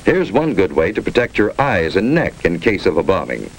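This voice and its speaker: A man's voice: deep voice, big pitch swings, normal speed. A man with an American accent narrating a 1950s instructional film in clipped, authoritative instructions. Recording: noisy old 1950s film soundtrack.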